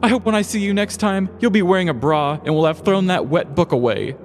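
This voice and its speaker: A man's voice: sits high, brisk, long reverb tail, pitched above the performer's own voice. A man voicing a prudish boyfriend in a comic story, pompous and full of mock indignation. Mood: indignant.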